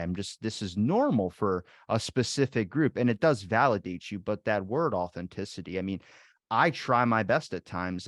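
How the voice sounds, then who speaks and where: A man hosts a casual podcast chat with a somewhat low voice. He wanders through his questions with lots of restarts and self-deprecating asides. The sound is close and dry. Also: dry recording. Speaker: podcast host.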